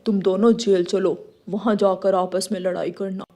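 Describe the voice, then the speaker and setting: A man's voice: sits high, flat delivery, moderate pace, background noise. A man voicing a stern, authoritative food inspector in a children's animal cartoon.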